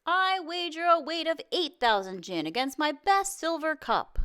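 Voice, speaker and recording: Lady doing a pompous excitable royal advisor from a storybook, emphatic, jumps high and all over. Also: normal speed. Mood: boastful. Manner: emphatic, declamatory. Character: boastful, excitable male court advisors and spectators. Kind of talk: storybook read-aloud.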